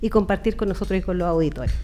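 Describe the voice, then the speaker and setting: A woman's voice: fairly low pitch, quick pace, dark tone. A Chilean woman hosting a radio program in an informal, chatty, friendly interviewing style. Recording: music bed under the voice. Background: background music.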